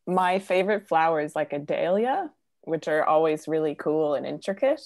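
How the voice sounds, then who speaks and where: A woman hosts a relaxed video chat. She sounds friendly and upbeat, and her speech is loose and full of fillers. Her voice sits a little low. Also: normal speed. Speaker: host. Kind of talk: casual online chat.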